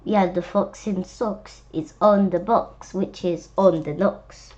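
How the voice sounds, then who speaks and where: A woman's voice: fairly low pitch, steady tone, slow pace, a little mellow, highs cut off. A woman reading a children's book aloud, adding chatty, playful asides and speaking directly to young listeners.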